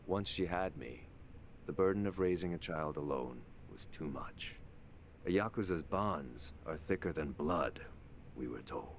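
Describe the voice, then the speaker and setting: Very deep, brooding avenger. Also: male voice, animated, heavily acted. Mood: cold, bitter. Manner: measured, brooding, contemptuous. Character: bitter, vengeful, cold-hearted son of a ruined yakuza family. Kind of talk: dramatic video game cutscene monologue. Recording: rumbling background noise.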